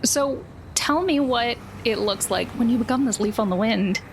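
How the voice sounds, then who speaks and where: Female game master, gravelly edge, conversational improvised narration, noisy recording. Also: fairly high pitch, normal speed.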